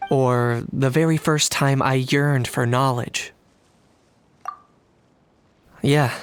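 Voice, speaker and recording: Calm, creaky-voiced young adventurer. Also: male voice, mid-range voice, a little hiss or hum. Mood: sincere, calm. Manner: deadpan, measured, understated. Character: calm, reserved young male adventurer, matter-of-fact. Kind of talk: video game voice acting.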